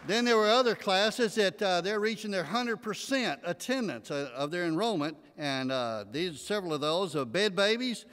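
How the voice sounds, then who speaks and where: A man's voice: high-pitched, animated, moderate pace, few pauses, fairly bright tone, clear, resonant tone. An older man with an East Texas accent giving folksy church announcements from a pulpit, with many filler uhs. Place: large church sanctuary with choir behind. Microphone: pulpit mic. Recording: long reverb of a big sanctuary.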